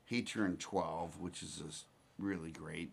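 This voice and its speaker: A man in his forties hosting a casual chat, talking in halting, rambling phrases with many restarts. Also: sits low, fairly even intonation, creaky, a little hiss or hum. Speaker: podcast host.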